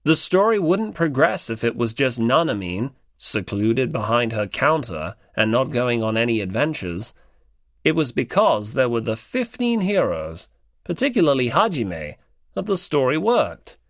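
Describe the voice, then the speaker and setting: Animated male audiobook narrator. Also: medium pitch, unhurried, dry recording, studio quality. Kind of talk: scripted story narration.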